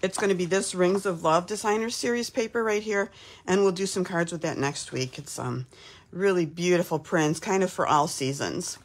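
A woman from Texas in her fifties, slightly hoarse from a cold, chatting casually while hosting a live craft demo from her home craft room. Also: lowish voice, normal speed. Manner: chatty, upbeat, lots of "um"s.

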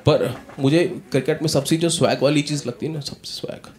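A man in his thirties doing a stand-up comedy set on a club stage, telling animated stories and acting out characters into a handheld mic. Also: a little high, brisk. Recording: live club audio with audience noise. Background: audience laughter.

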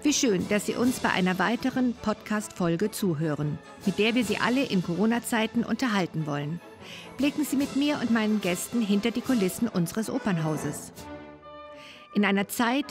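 A German woman hosting an interview podcast in a room of an opera house, reading a formal welcome and then asking questions. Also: medium pitch. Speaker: podcast host. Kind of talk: scripted intro then interview.

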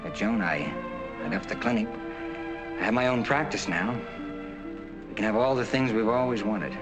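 A man's voice: fairly low pitch, expressive, moderate pace, close to an everyday voice. An actor playing a proud, determined American doctor in his thirties, a spurned lover, in 1940s film noir style. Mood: earnest pleading. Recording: 1940s film soundtrack with heavy music.

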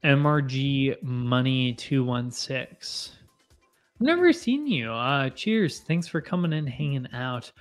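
A man's voice: mid-range voice, big pitch swings, slow pace, faint music behind. Chatty rambling young man at home bar. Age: 20s. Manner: rambling, self-deprecating, chatty. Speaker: livestream host. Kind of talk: casual live chat with viewers. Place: home bar with bottle shelves, indoors. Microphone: boom mic in front of face.

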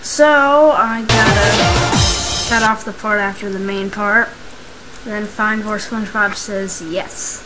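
A speaker giving a casual, unscripted step-by-step software tutorial, recorded at home. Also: mid-range voice, steady tone, slow pace, over music, rough recording. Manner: informal, unscripted step-by-step narration. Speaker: tutorial maker. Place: indoors, home computer screen recording. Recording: hissy, clicky home microphone recording.

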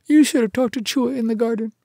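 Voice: mid-range voice, steady tone, quick pace, vocal fry, mellow, no echo, studio quality, pitched above the performer's own voice, lightly acted. A narrator voicing a timid, broken-hearted little male muskrat, tearful and pleading, in an animal storybook. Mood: fearful sobbing. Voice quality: whimpering, tearful. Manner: pleading, fearful.

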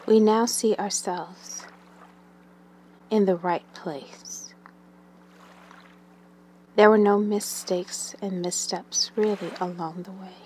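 A woman leading a guided meditation in a gentle, soothing manner, with long pauses and breathy phrase endings that trail away. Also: medium pitch, steady tone.

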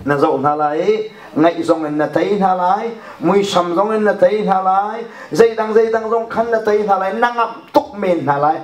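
Animated male preacher in an echoey hall. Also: high voice. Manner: animated, gesturing with one hand, often laughing. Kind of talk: sermon. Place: church hall with a projection screen. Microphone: handheld mic. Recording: echoey hall, recorded from far off.

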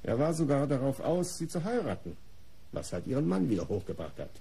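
An actor playing a defensive, disdainful art patron under police questioning in a German radio crime play, articulate, formal and self-justifying. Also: male voice, medium pitch, sing-song, a little fast, creaky, dark tone, breathy edge, full character voice. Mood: dry, disdainful.

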